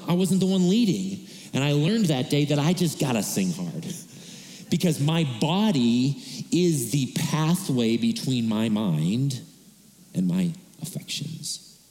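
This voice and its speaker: A man speaking from a church stage in a loud, earnest voice. He preaches conversationally and pokes fun at himself. His voice rings and lingers in the long reverb of the sanctuary. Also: a little high. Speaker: worship pastor. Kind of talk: sermon. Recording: echoey reverberant church hall.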